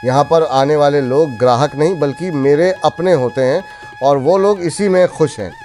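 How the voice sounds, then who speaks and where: A man voicing a gentle, principled elderly village father who runs a roadside eatery, in a cartoon story. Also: on the high side, moderate pace, soft background music, close to an everyday voice. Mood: gently defensive. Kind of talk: Hindi cartoon story voice acting.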